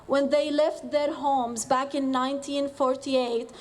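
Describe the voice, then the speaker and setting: A woman's voice: sits high, steady tone, slow. A young woman in her twenties from Jordan, a student giving an earnest personal speech at an outdoor rally. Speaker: student speaker.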